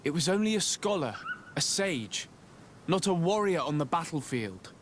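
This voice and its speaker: A man playing a composed, courteous young diplomat-adventurer in a video game, speaking in measured, earnest, formal phrases. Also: fairly high pitch, sing-song, a little hiss or hum. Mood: composed, grave. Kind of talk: video game cutscene acting.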